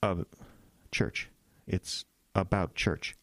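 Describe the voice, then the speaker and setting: A man acting an obsessed, evasive husband in an audio drama, speaking in a halting, distracted, earnest way. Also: low voice, steady tone, fairly bright tone, no echo, exaggerated voice. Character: obsessed, evasive, frazzled husband on a secret mission.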